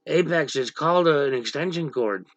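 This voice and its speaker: A man hosting a casual livestream with a gravelly voice, talking bluntly to viewers and snapping at chatters who annoy him. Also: on the high side, moderate pace, no room sound. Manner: blunt, irritated, snaps at chatters. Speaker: livestream host. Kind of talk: casual livestream chat reading viewer comments. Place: dim room at home, power out.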